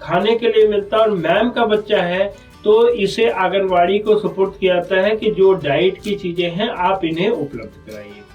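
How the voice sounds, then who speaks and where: A man's voice: high-pitched, moderate pace, distant mic, highs cut off, soft background music. A man with a North Indian accent speaking as a doctor being interviewed, explaining health matters earnestly and persuasively, often repeating phrases.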